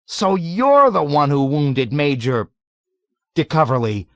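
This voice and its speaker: A man voicing an earnest, easily outraged young American man, pitched well above his own voice. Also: very animated, fairly quick, dry recording, lightly acted. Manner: bashful, then outraged, cries out.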